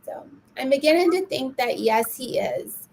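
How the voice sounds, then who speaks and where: A woman voicing a woman with an exaggerated Wisconsin accent, reading fan posts with broad, drawn-out vowels. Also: high voice, animated, darkish tone, slight background noise. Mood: hammy. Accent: put-on Wisconsin.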